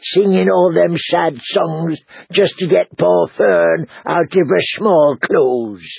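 A man's voice: a little high, slow pace, creaky, breathy edge, dry recording, muffled recording, pitched above the performer's own voice, exaggerated voice. A man voicing a grumbling, defensive middle-aged innkeeper who whines and complains. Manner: whiny, complaining.

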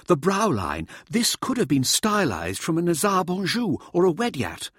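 A man's voice: fairly high pitch, a bit bright, slightly rough, no echo, studio quality, voice pushed up, full character voice. A man voicing an eager, showboating male scientist in an audiobook, theatrical, pressing and didactic in his delivery. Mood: insistent, showy. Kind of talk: audiobook character dialogue.

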